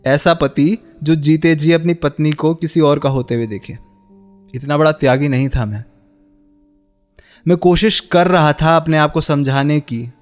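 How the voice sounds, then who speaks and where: A heartbroken young husband in a radio drama, played by a male actor, speaks in a slightly high voice. He is bitter and sarcastic, throwing accusing rhetorical questions at his former love. Music plays beneath the dialogue. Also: a little slow, long pauses, lightly acted. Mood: bitter, wounded. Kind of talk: Hindi radio play acting.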